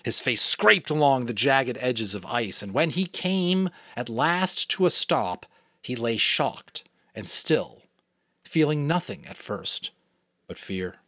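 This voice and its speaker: A man's voice: medium pitch, slow pace, no room sound, studio quality. A man from New England, a father, giving a dramatic audiobook reading with casual commentary. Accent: New England American. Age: adult, a father. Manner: animated, expressive storytelling. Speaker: audiobook reader.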